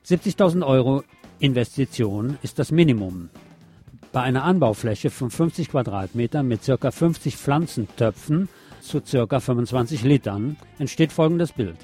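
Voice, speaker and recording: A man's voice: medium pitch, lively intonation, moderate pace, dark tone, breathy edge, faint music behind. A man presenting scripted radio news with a wry, colloquial touch. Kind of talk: scripted news magazine narration.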